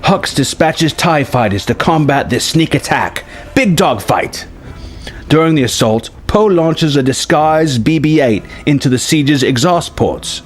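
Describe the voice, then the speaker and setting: A man's voice: fairly high pitch, lively intonation, unhurried. A man reading out a scripted film story treatment as narrator, in present-tense prose. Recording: music behind the voice. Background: music.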